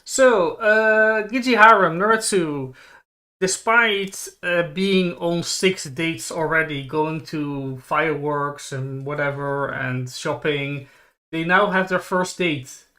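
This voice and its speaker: Bright-voiced man, halting casual delivery. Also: a little high. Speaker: co-host of an anime discussion livestream. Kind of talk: casual anime recap and chat.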